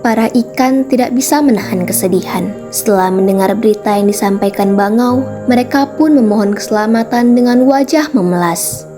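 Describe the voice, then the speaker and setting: A woman's voice: fairly high pitch, fairly quick. Woman storyteller over prominent music. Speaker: narrator. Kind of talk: scripted storytelling narration.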